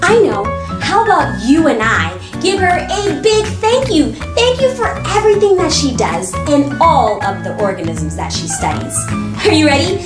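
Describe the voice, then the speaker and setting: A woman hosting an interactive children's show, talking directly to young viewers in an exaggerated, animated manner. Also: high-pitched, normal speed. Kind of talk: interactive children's read-aloud and science show. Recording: echoey room with music. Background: music.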